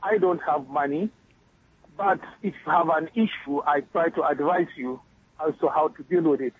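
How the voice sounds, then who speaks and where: A man from Ghana with a Ghanaian accent who calls in to a radio show and speaks earnestly, pausing often with hesitant fillers. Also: fairly high pitch, husky, no echo, phone-quality sound. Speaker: caller. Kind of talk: phone-in conversation.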